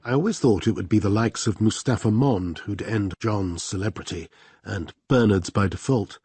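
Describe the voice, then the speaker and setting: Husky, low-voiced wry male narrator. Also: normal speed, mellow, no echo. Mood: wry, reflective. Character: reflective, wry male narrator looking back. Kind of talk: radio drama narration.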